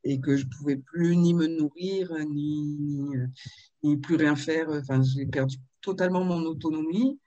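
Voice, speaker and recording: A woman in her fifties from Marseille, telling her life story in a video-call interview, with frequent pauses and fillers. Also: low voice, steady tone, dark tone, no room sound, dull audio. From Marseille, France. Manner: hesitant, with many fillers and restarts. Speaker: author and interview guest.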